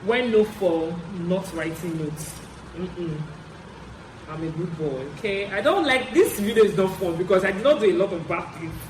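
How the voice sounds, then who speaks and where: A man's voice: high-pitched, lively intonation, moderate pace. Young Nigerian man chatting in an echoey room. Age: young adult. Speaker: vlogger. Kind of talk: casual story-time chat. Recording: roomy echo, clear background noise.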